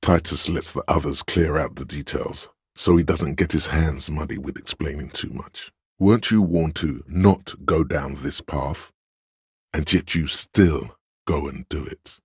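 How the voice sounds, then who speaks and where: Extremely deep, commanding inner voice. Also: male voice, slightly rough, no room sound, clean studio sound, exaggerated voice. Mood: grave, measured. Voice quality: very deep, resonant bass. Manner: imperious, measured, scolding and goading. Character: commanding, domineering inner voice of authority in a cop's mind. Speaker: video game voice actor. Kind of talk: dramatic game narration, inner-voice lines.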